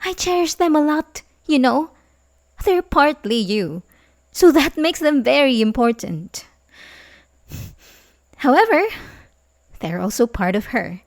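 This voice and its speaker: Woman acting as lovesick delusional young female babysitter, high voice, very unhurried, halting, manipulative, softly menacing, ASMR audio drama. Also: sing-song, heavily acted. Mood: coldly menacing. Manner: halting, pleading, swinging into soft menace. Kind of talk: ASMR roleplay audio drama, addressing the listener.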